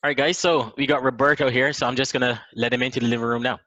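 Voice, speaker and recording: A man with a Barbadian accent, hosting a video chat and telling stories in a measured way. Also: medium pitch, fairly even intonation, bright, forceful tone, no room sound, highs cut off. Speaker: host.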